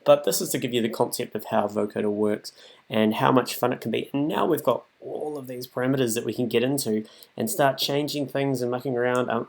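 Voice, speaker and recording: Informal man giving a music software tutorial. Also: mid-range voice, gravelly. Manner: informal, thinking aloud as he works. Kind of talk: casual screen tutorial, improvised.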